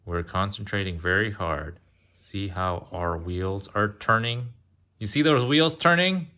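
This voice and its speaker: Slow, low-voiced man reading aloud. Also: bright, forceful tone. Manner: interactive, pausing to ask and explain. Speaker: adult reading a picture book to a child. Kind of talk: casual read-aloud with chatty asides.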